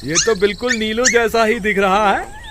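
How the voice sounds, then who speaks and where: A man voicing an eager, sly village crook in a moral-story cartoon, with exaggerated, drawn-out calls. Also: sits high, very noisy, lightly acted. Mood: excited, sly.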